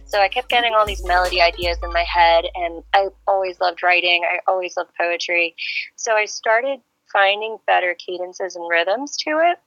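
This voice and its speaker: Bright-voiced chatty woman singer-songwriter. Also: lowish voice, normal speed, no echo, dull audio, soft background music. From Serbia. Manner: friendly, chatty storyteller. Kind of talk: phone-in radio interview. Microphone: phone line.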